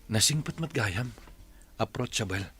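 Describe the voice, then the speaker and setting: An actor playing a polite, soft-spoken young office trainee in a radio drama, with a husky voice and a Filipino accent. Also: male voice, fairly low pitch, animated, a little slow. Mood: shy, curious. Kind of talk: radio drama acting and show opening.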